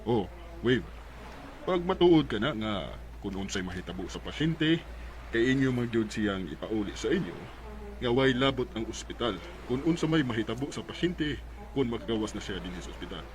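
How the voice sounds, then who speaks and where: A man's voice: medium pitch, expressive, moderate pace, natural voice, poor audio. A man with a Filipino Bisaya accent playing a serious, matter-of-fact hospital doctor in a radio drama. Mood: firm. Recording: clear noise behind the voice.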